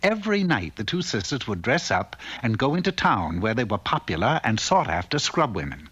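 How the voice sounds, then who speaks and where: A man's voice: lowish voice, sing-song, fairly quick, fairly bright tone, breathy edge, heavily acted. A man playing a droll storybook narrator, reading scripted fairy-tale narration for a cartoon. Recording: dull lo-fi old cartoon soundtrack.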